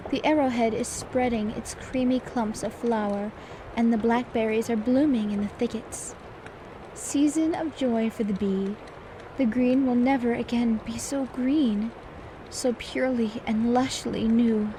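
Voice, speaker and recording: A woman playing a genteel, quietly competitive maid who softly reads poetry in an ASMR bedtime roleplay. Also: a little high, background noise. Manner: genteel, polite, gently teasing. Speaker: servant reading poetry at bedtime. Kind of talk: soft-spoken ASMR roleplay, poetry reading.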